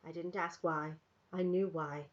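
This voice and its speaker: A woman teacher reading a novel aloud to her class in a soft, measured, unhurried way. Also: lowish voice, fairly even intonation. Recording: dull lo-fi recording.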